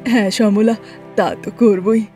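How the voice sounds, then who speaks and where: A woman voicing a gentle, worried young village father with an earnest, homely manner in a cartoon. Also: mid-range voice, steady tone, unhurried, soft background music, lightly acted. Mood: caring.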